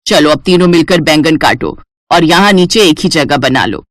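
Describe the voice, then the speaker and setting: A woman's voice: low voice, normal speed, dry recording, clean studio sound, pitched below the performer's own voice, close to an everyday voice. A woman voicing a stern, grumbling old mother-in-law in a scolding manner for a cartoon moral story. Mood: firm, commanding. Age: older. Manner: scolding, complaining.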